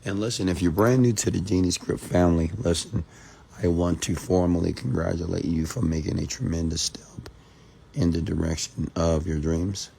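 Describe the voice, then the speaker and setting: A hoarse man from Texas talks late at night on a livestream, his voice very low with a gravelly fry. He repeats himself and calls out viewers by name in a motivational tone. A faint hiss sits under the recording. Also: fairly even intonation, moderate pace, dark tone. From Texas, USA. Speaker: entrepreneur hosting a live stream.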